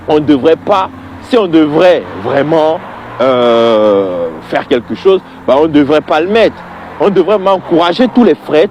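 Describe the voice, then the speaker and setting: A man's voice: a little high, a little mellow. A man giving an informal, emphatic opinion monologue to viewers, conversational and prone to repeating his phrases for weight.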